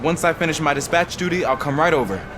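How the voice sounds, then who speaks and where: Game role: male actor as helpful dispatch cop, slightly high voice, casual, earnest, noisy ambience. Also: fast talker, close to an everyday voice. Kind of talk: video game cutscene acting.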